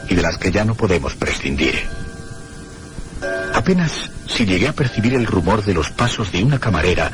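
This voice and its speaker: An actor playing a reflective gentleman who narrates a mystery in a measured, literary radio drama style. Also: deep voice, very animated, music in the background. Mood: reflective, grave. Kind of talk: scripted first-person radio drama narration.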